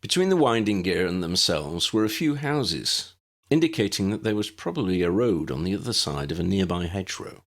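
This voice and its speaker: Low gravelly man narrating a story. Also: expressive, a little fast. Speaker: narrator, audiobook reader.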